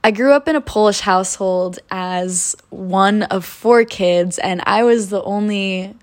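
A woman's voice: mid-range voice, a little slow. Earnest young woman sharing her story. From United States. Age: 20s. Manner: soft, reflective and earnest, with spiritual wording. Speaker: podcast host. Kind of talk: personal storytelling monologue.

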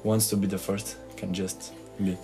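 A young Portuguese man with a Portuguese accent, speaking in a fairly low voice as he asks fellow students questions. He hesitates a lot and fills pauses with "uh". Faint music plays behind him. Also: level tone, mellow. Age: young adult. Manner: hesitant, many fillers. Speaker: student interviewer. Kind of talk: casual group interview.

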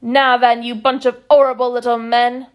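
Woman putting on a loud cockney corporal, gravelly, barking orders, storybook soldier. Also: high-pitched, normal speed. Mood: bellowing. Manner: barking, clipped, working-class. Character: gruff cockney army corporal, bellowing drill voice.

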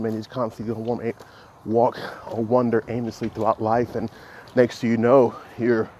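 Halting, flat-toned man in his thirties. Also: mid-range voice, slight background noise. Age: 30s. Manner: halting, reflective, many restarts and fillers. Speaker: podcast host. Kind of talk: solo motivational podcast monologue.

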